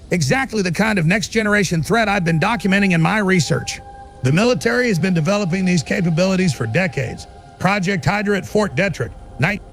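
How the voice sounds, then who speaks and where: A paranoid survivalist radio ranter, acted by a man in an audio drama. His voice sits high for a man and rasps with gravel. He is urgent, fervent and insistent, as if warning listeners from a bunker. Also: a little hiss or hum. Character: paranoid male conspiracy broadcaster in a bunker. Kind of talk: audio drama monologue as pirate radio broadcast.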